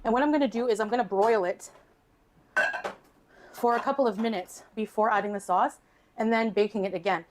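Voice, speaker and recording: A woman's voice: mid-range voice, a little fast, pauses often, a little hiss or hum. A woman casually explaining recipe steps as a home cooking vlogger, recorded in her kitchen.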